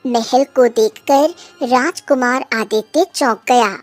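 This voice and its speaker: High-pitched woman narrating a children's cartoon. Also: takes it slowly, soft background music. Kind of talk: scripted children's cartoon storytelling, also voicing dialogue.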